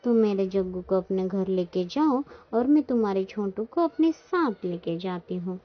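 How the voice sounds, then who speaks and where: A woman voicing an exasperated, dramatic mother crow in a children's cartoon, complaining loudly and emphatically. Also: medium pitch, lively intonation, normal speed, natural voice. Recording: dull lo-fi sound.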